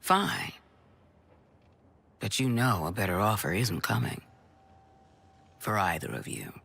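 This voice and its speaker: Gravelly, husky, commanding boss. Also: male voice, low voice, sing-song, slow pace, pushed and bright, heavily acted. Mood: hard, businesslike. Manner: curt, authoritative. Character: blunt, commanding government task force boss, hard bargainer. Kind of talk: video game voice acting.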